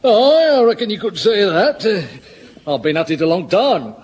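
A man's voice: sits high, very animated, darkish tone, a little hiss or hum. An actor playing a plain-spoken man from Lancashire, a stage sharpshooter, in a vintage radio comedy. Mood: blunt. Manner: blunt, unhurried, dialect words.

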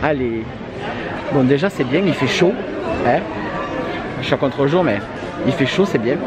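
A man's voice: medium pitch, expressive, normal speed. A man in his thirties chatting casually as a vlogger, repeating himself and tagging his phrases. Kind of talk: casual vlog chat. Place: large wooden restaurant hall, indoors. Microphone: handheld camera at arm's length. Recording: busy restaurant crowd noise.

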